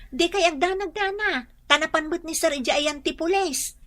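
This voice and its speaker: A young woman with an Ilocano accent playing a house helper in a radio drama, answering briskly and respectfully. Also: very high-pitched, fairly bright tone. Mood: reassuring. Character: eager young maid in a big house.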